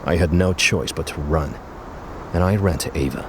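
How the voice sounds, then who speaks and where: Very deep-voiced earnest rebel ex-cop. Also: male voice, normal speed, background noise. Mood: earnest, weary. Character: earnest male ex-cop turned committed resistance fighter. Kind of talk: video game cutscene acting.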